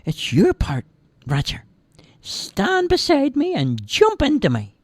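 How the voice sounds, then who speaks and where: A woman's voice: sits high, wildly swooping pitch, slow pace, darkish tone, dry recording, clean studio sound, pitched above the performer's own voice, full character voice. A woman voicing a tiny, chirpy magical man who lives inside a boy's heart and giggles as he talks. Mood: cheerful.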